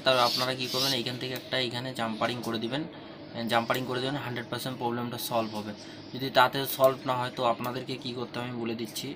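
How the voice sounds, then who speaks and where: A man talks very quickly in a flat, even tone, walking through a hands-on tutorial step by step and repeating his instructions. His pitch is average, and clear noise runs through the home-made recording. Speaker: phone repair tutorial host.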